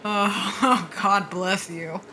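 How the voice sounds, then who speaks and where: A woman's voice: medium pitch, fairly even intonation, extremely slow, sharp tone, a little hiss or hum. A woman playing a self-mocking soldier and job candidate in an audio drama, joking and sardonic in a casual way. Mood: wry, nervous. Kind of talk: naturalistic audio drama dialogue.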